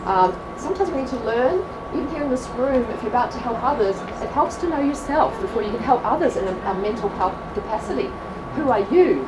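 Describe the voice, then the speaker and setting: A woman with an Australian accent presenting a class to an audience at a church event, chatty and quick to laugh at herself. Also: a little high, expressive. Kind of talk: live talk to a class. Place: large room with an audience. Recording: echoey hall from far off, crowd noise.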